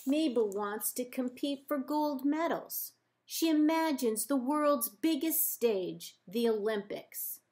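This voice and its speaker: A woman librarian reading a children's picture book aloud in measured, deliberate phrases with pauses. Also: high voice, expressive, darkish tone. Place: indoors, book held up to camera.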